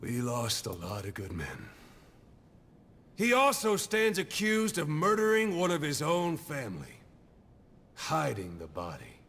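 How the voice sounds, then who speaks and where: A grave military accuser speaks formally in a game cutscene. His fairly high voice rises and falls widely, echoing with long reverb. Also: wildly swooping pitch, unhurried, gravelly, fairly bright tone, slight background noise, exaggerated voice. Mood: grave, accusing. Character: stern male military accuser reading out grave charges. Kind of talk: dramatic video game cutscene acting.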